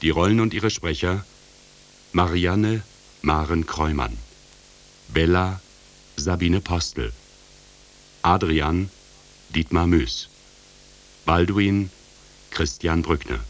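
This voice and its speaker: A man reading scripted closing credits as a radio announcer in a formal, measured manner. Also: low voice, poor audio.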